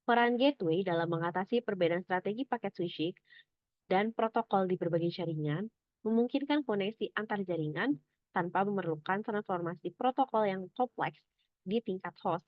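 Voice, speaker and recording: A young adult woman presents academic material like a student reading from notes. Her delivery is measured and explanatory, with some halting. The sound is dry and slightly dull, with a lo-fi finish. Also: medium pitch, dry recording.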